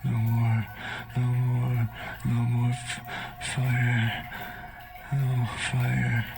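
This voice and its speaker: An actor in a video game playing a burned, tortured vampire prisoner who speaks in a halting, desperate, gravelly voice. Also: male voice, mid-range voice, monotone. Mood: terrified, pained. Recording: loud music behind the voice.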